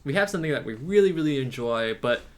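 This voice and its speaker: A man's voice: medium pitch, expressive, quick pace. A young man co-hosting a casual podcast, joking around and asking long, rambling questions. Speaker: podcast co-host.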